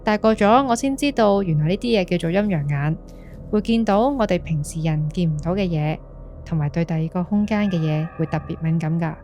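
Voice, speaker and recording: A woman's voice: fairly low pitch, a little fast, mellow, a little hiss or hum, close to an everyday voice. An actress with a Hong Kong Cantonese accent playing an earnest young woman recounting a friend's eerie experience in a ghost-story podcast. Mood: uneasy, reflective. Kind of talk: scripted ghost-story monologue.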